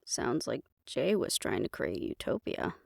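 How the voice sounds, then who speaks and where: A skeptical, cool-headed woman in a fantasy audio roleplay, put on by a female performer. She talks in a lowered voice with a dry, questioning delivery. The sound is close and echo-free. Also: sing-song, a little slow, creaky, darkish tone, exaggerated voice.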